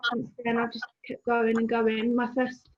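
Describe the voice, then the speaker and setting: A woman's voice: on the high side, normal speed, highs cut off. A woman in her twenties speaking on a video call as a guest, hesitant and full of ums. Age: 20s. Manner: hesitant, many ums, even tone. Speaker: guest mother. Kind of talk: casual video call chat.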